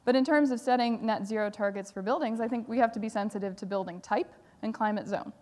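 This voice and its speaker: A woman speaking as a panelist in a measured, explanatory way. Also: fairly high pitch, mellow. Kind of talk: panel discussion. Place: large conference hall. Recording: reverberant hall.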